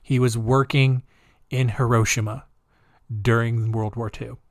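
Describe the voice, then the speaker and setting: A man hosting a casual storytelling podcast, chatty and animated, talking straight to his listeners as guys with lots of asides, moving between loud emphasis and quiet remarks. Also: mid-range voice, measured pace, no room sound, clean studio sound. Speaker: podcast host.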